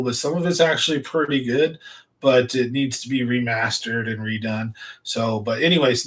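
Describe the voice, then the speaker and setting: A man's voice: medium pitch, fairly bright tone. Easygoing man rambling to his viewers. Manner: rambling, easygoing, lots of fillers. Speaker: fishing channel host. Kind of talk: casual livestream chat to viewers.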